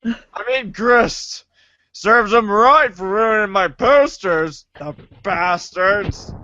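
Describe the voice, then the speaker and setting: Man voicing excitable boy, high-pitched, slow drawn-out delivery, theatrical, exasperated, amateur comic drama, slightly dull recording. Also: no room sound, heavily acted. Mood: casual, grumbling. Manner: theatrical, prone to drawn-out wails. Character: excitable, exasperated, foul-mouthed boy prankster victim. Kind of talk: amateur comedic readers' theater acting.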